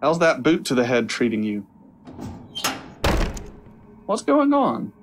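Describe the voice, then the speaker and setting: An actor playing a wry young stage magician in an audio drama, talking in a sarcastic, conversational way. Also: male voice, medium pitch, wildly swooping pitch, slow, noisy background. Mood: guarded, sarcastic.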